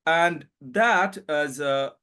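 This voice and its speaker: A man from Bangladesh, giving a conference presentation over a video call in a thoughtful way, often pausing with fillers. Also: on the high side, bright, forceful tone, smooth. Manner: thoughtful, hedging with frequent fillers. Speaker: panel speaker, academic.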